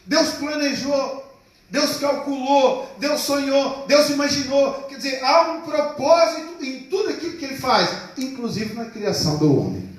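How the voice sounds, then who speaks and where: Male pastor, high voice, call-and-response preaching, far mic in a very echoey church. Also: expressive. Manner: call-and-response preaching, stretches key words slowly. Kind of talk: sermon. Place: church hall.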